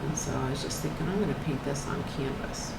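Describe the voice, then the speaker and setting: Older woman, low slightly croaky voice, soft-spoken painting chat; echoey room with a steady air-conditioner hum. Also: fast. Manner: soft-spoken, thinks aloud with trailing restarts. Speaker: painting channel host. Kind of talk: casual talk while painting, faith reflection.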